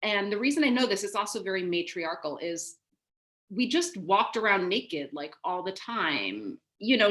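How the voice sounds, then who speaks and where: A woman's voice: medium pitch, a bit bright. A middle-aged woman from the United States speaking reflectively as an author on a video call panel. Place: home room on a video call. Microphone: video call microphone.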